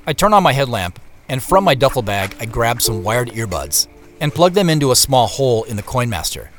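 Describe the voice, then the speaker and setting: An actor playing a reflective man who narrates his secretive search in a measured, descriptive way for a scripted audio drama. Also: mid-range voice, very animated, faint music behind, close to an everyday voice. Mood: focused, intent. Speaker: narrator and lead character.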